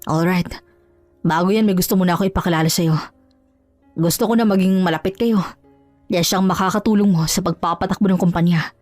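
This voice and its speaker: A woman voicing a protective, wealthy businessman father in an audio drama, in a measured, authoritative manner. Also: fairly low pitch, fairly even intonation, darkish tone, no room sound, soft background music, lightly acted. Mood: firm.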